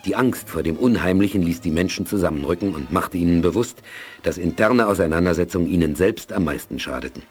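An actor serving as the composed narrator of a science-fiction radio play, measured and articulate. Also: male voice, sits low, a little mellow, husky. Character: composed male storyteller of a science-fiction saga. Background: faint music.

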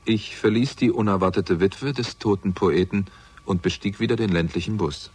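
A wry world-weary detective narrating, deep husky voice, dry radio drama style. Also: male voice, normal speed, darkish tone. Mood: wry, reflective. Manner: dry, literary. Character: wry, world-weary male investigator narrating his own case. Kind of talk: scripted first-person radio drama narration.

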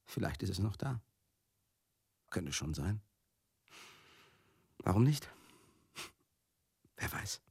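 A world-weary male companion in a radio play, with a low, husky, creaking voice recorded close and dry. He confides directly in the listener, halting and repeating himself, bitter and tender at once, often sinking into a breathy murmur. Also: sing-song, a little mellow, no room sound, heavily acted. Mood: tender, grieving. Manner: halting, repetitive, conversational, addressing listeners directly. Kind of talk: radio play monologue, intimate confessional storytelling.

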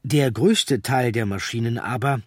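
A man narrating a history audiobook in a measured, factual reading style. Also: medium pitch, darkish tone, no echo, studio quality. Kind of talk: scripted documentary narration.

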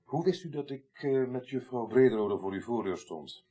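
Actor as husky, expressive police inspector. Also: male voice, medium pitch, normal speed, lightly acted. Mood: curious, polite. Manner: polite, hesitant hums, questioning. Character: courteous but businesslike male police inspector. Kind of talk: 1980s Dutch radio drama acting.

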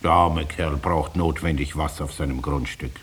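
An actor playing a simple farmer, defensive and slyly self-interested, talking plainly and evasively in a 1950s German radio play. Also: male voice, extremely low pitch, fairly even intonation, moderate pace, fairly bright tone. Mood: sheepish, defensive. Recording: hissy 1950s radio recording.

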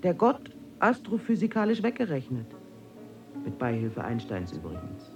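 An actor playing a condescending, mocking cosmic spirit in an audio drama, theatrical and emphatic. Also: male voice, on the high side, wildly swooping pitch, moderate pace, muffled recording. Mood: scornful. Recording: music under the voice.

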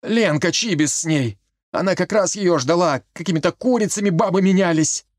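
A man voicing a shouting village carpenter. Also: high-pitched, normal speed, no echo, clean studio sound, voice pushed up, natural voice. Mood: upset. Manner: shouting, tearful. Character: village carpenter, young husband, distraught and furious.

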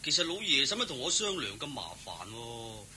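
An actor playing a simple, humble young servant in a Cantonese radio drama, hesitant and naively earnest. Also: male voice, medium pitch, big pitch swings, fairly quick, pushed and bright, a little hiss or hum. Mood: puzzled, humble.

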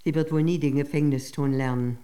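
A woman acting as a young imprisoned daughter with a soft, dark timbre. She is frank and exasperated yet matter-of-fact, speaking lines in a radio drama. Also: low voice, steady tone, fairly quick, close to an everyday voice.

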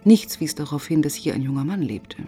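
An actress playing a determined police inspector who narrates and questions soberly and precisely in a radio crime drama. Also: female voice, deep voice, lively intonation, brisk, mellow. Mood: sober narrating. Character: determined female police inspector narrating her own case. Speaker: narrator and lead detective. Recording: music behind the voice.

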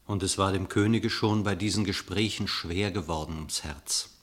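Slightly low-pitched male radio drama narrator. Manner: measured, literary storytelling.

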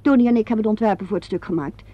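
On a muffled old radio recording, a woman plays a witty theatre designer. She talks fast and chattily, self-deprecating and holding her nerves in check. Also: mid-range voice, dry recording, close to an everyday voice. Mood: brisk, informative. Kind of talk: vintage Dutch radio play acting. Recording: dull lo-fi vintage radio recording.

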